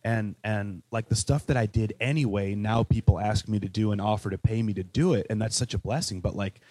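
Rambling Chicago man, low and dry. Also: fairly quick, darkish tone, no room sound. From Chicago, with Welsh roots. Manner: rambling, many fillers and restarts, enthusiastic. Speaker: interview guest, musician and DJ. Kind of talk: casual interview chat.

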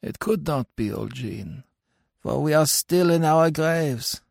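A man's voice: on the high side, animated, slow pace, gravelly, dry recording, clean studio sound, voice pushed up, heavily acted. A man voicing a devout dead husband who speaks tenderly and reassuringly in archaic storybook words from the grave. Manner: reassuring, reverent archaic speech. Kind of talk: dramatic storybook reading.

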